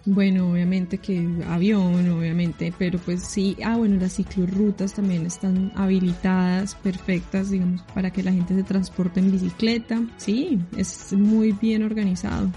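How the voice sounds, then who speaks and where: A Colombian woman answering interview questions in a chatty, relaxed way, often laughing. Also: medium pitch, normal speed, hardly any pauses, lo-fi sound, faint music behind. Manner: chatty, laughing, many restarts. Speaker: guest living abroad.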